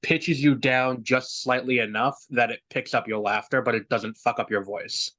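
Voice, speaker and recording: A man's voice: mid-range voice, fairly even intonation, moderate pace, sharp tone. A young man hosting a podcast in casual chat over a remote call, rambling with lots of fillers and restarts. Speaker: podcast host.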